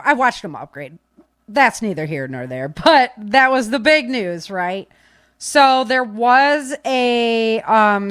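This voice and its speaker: A woman hosting a podcast, speaking informally into a close microphone with animated emphasis. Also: medium pitch, dry recording. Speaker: podcast host. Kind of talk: casual podcast talk.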